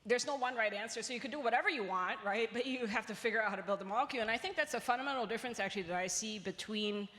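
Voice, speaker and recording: A woman's voice: a little high, rapid-fire, hardly any pauses, a bit bright. A woman from Singapore speaking casually and emphatically on a panel, sprinkling her talk with "like" and "you know". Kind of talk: casual panel discussion with anecdotes. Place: large echoey event space. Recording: reverberant hall, live event.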